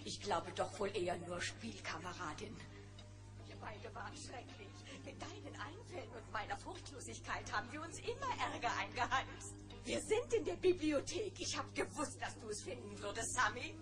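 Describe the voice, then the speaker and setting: A woman's voice: fairly high pitch, big pitch swings, moderate pace. An actress playing a bossy, motherly and easily exasperated gummi bear in a German children's audio play. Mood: tender. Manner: scolding, emphatic. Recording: cassette audio play with music behind.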